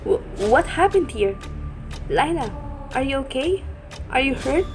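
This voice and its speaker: A woman's voice: high-pitched, very animated, a little slow, music in the background. A woman voicing a caring young husband in a student radio play, earnest and concerned. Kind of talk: amateur radio play acting.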